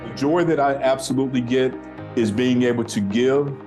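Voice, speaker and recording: A man's voice: medium pitch, fairly even intonation, moderate pace. A middle-aged man, an executive giving an earnest interview testimonial in corporate phrasing. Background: guitar music.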